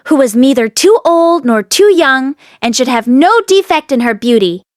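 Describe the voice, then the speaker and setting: Female audiobook reader, higher-pitched voice, scripted novel narration, dry close sound. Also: very animated, normal speed, no echo.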